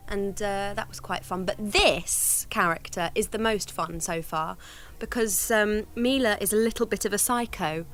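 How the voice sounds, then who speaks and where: A woman's voice: mid-range voice, normal speed, fairly bright tone. A woman giving casual commentary in a playful, self-mocking manner.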